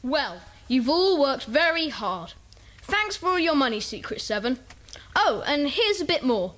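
A woman's voice: high-pitched, sing-song, measured pace, a bit bright, slight background noise, full character voice. A woman playing an earnest, plucky schoolboy club leader in a children's audio drama. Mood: pleased.

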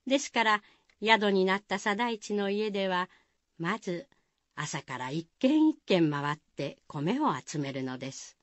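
A woman's voice: medium pitch, lively intonation, unhurried, no room sound, close to an everyday voice. A woman narrating a Japanese village folktale in a scripted radio drama style. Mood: measured.

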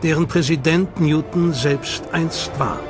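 A man giving measured, scripted documentary narration. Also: fairly high pitch. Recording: voice-over with loud music behind.

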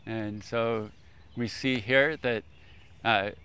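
Older man with a clear, smooth voice. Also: fairly low pitch, steady tone, takes it slowly, bright, forceful tone. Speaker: expert guest, agricultural scientist. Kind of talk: informal explanatory talk. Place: outdoors on a lawn beside a food truck.

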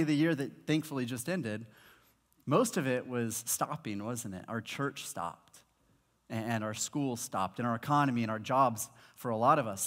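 A man in his thirties, a pastor from Malawi, preaching a sermon on stage with a headset mic and acting out the voices in his stories. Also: mid-range voice. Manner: animated storytelling preacher, acts out quoted voices. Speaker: high school pastor. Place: large church auditorium stage with audience. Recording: long reverb of a large hall.

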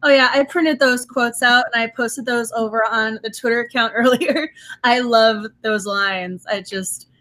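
A woman's voice: on the high side, fairly even intonation, unhurried, fairly bright tone. A young woman hosting a book club over a video call from home, enthusiastic and opinionated, her voice a little strained from overuse. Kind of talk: casual video call chat. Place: home room with bookshelves. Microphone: webcam on video call.

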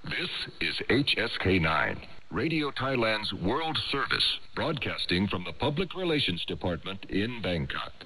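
A man's voice: fairly low pitch, big pitch swings, unhurried. A man reading a scripted station identification as a radio announcer over shortwave. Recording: very noisy shortwave radio broadcast.

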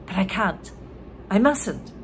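A woman's voice: medium pitch, expressive, slow pace, slight background noise. A woman doing a cheeky scheming young boy. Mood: gleeful.

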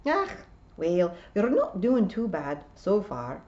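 A woman's voice: medium pitch, very animated, a little slow, a little mellow, muffled recording, pitched above the performer's own voice. Woman as a proud, prickly ancient male pixie, emphatic. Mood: gruffly kind. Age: nineties. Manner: scolding, dialect-laden, emphatic. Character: proud, prickly ninety-six-year-old male pixie piper.

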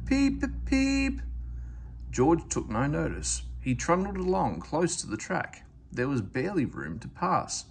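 A man reading a children's storybook aloud as narrator, in an expressive way. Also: fairly high pitch, normal speed, vocal fry, hardly any pauses, slight background noise. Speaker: storybook reader. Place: indoors at home, book on camera.

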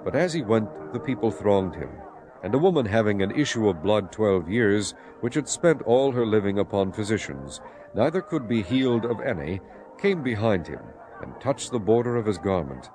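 Male narrator, low pitch, animated intonation, dramatized scripture reading. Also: moderate pace, a little hiss or hum, low-quality recording.

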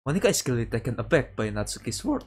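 A man streaming a visual novel, reading dialogue aloud haltingly and adding casual commentary. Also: medium pitch, very animated, breathy edge. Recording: music playing behind the voice. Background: guitar game music.

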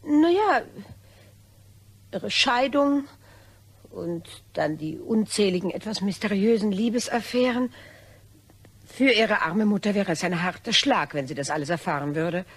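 A woman playing a polite, desperate blackmailer in a German crime radio play, coy and hesitant, with a slightly husky voice. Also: medium pitch, lively intonation, unhurried, pauses often. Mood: sweetly menacing. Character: polite, desperate female blackmailer, probing and apologetic.

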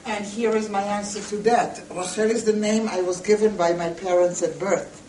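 A woman lecturing to a group in a deliberate, emphatic way, putting questions straight to her listeners. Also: medium pitch, steady tone, warm tone. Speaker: lecturer, museum educator. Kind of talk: public lecture to a seated group. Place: indoor meeting room. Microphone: camera a few metres away. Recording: roomy, distant camera microphone with noise.

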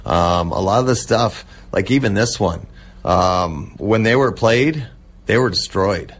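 A man speaking casually as an interview guest, halting and reminiscing as he goes. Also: fairly low pitch, breathy edge. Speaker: interview guest, old-time radio collector and restorer. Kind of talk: casual radio interview talk.

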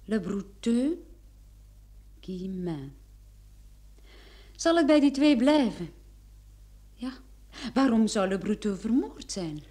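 A curious lady in an old radio play, soft dark tone, really lively pitch, questioning. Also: takes it slowly, long pauses. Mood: pensive, questioning. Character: inquisitive woman pondering a murder case.

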